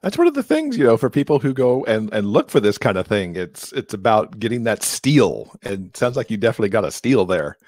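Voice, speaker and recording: Low-pitched middle-aged man interviewing. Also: sing-song, a little fast, few pauses, darkish tone, no room sound. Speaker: podcast co-host, interviewer. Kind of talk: casual conversational interviewing. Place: indoors, video call from a room. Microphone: large microphone close in front of him.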